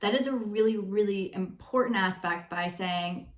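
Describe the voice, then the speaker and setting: A young woman speaks in a chatty, candid way, sprinkling her advice with casual fillers. Her voice sits at an ordinary middle pitch. The room leaves a noticeable echo around her. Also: moderate pace. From Greek family background. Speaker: life and relationship coach. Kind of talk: casual advice talk to camera. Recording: roomy echo.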